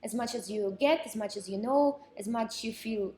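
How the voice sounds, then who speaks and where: A woman from Ukraine giving reflective interview answers that trail off softly. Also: a little high, normal speed, echoey room. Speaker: event participant. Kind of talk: casual on-camera interview.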